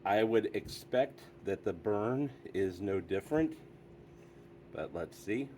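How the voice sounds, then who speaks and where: A man's voice: lowish voice, lively intonation, slow, dark tone, slight background noise. A man hosting a casual product review, talking in an easygoing, chatty way with frequent fillers.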